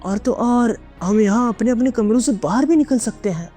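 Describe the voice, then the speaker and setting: A narrator voicing an excitable, chatty young male student in an audio drama, animated and wide-eyed. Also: very high voice, moderate pace, soft background music, pitched above the performer's own voice. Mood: curious.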